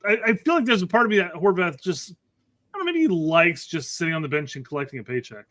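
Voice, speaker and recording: An American man with a bright, somewhat high-pitched voice co-hosts a soccer livestream. His delivery is informal and folksy, chatting casually and often repeating his phrases. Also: very animated, quick pace. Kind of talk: casual chat, relaying viewer comments.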